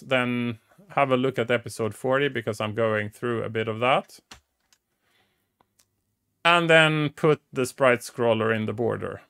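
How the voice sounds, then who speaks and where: Gravelly Swedish-native man, casual tech talk. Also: mid-range voice, sharp tone. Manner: frequent restarts and fillers, enthusiastic. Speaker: show host. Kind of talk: casual technical explainer. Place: small dim room indoors. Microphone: headset.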